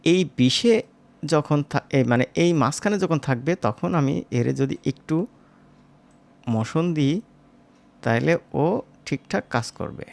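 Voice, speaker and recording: A man teaching as a software instructor, informal and step by step, often checking in with short tag questions. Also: medium pitch, a little slow, vocal fry, long pauses. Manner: conversational, seeking agreement with short tag questions. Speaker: animation tutorial instructor.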